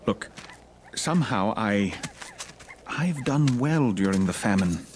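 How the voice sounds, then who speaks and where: An actor playing a kindly, well-off kinsman in an animated Bible film, hesitant and earnest. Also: on the low side, slow pace, slight background noise. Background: music.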